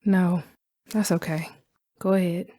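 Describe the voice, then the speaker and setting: A woman's voice: fairly low pitch, steady tone, unhurried, mellow. An actress playing a hesitant but easily impressed young female patient in an audio drama. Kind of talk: naturalistic audio drama acting.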